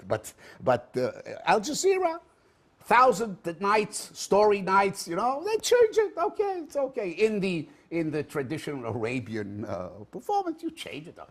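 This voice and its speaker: A middle-aged man with an Israeli accent, a guest speaker telling anecdotes to an audience in a lively, swinging way. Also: slightly rough. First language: Hebrew. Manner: lively storytelling, big swings in pitch and loudness, laughs at own jokes. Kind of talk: animated public talk with anecdotes. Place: event hall in front of an audience.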